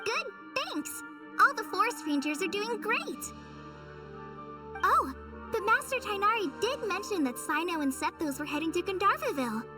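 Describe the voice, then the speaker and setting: Shy eager teen ranger girl, anime game style, really high voice, bouncy intonation. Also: sing-song, normal speed, over music. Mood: friendly, thoughtful. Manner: sweet, bashful, slightly flustered politeness. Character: modest, earnest, eager teenage girl forest ranger.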